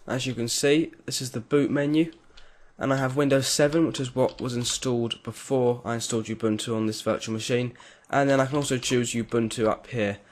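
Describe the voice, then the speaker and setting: A man giving a clear step-by-step voice-over for a software tutorial. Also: medium pitch, fairly even intonation, brisk. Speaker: tutorial creator. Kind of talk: screen-recorded software tutorial.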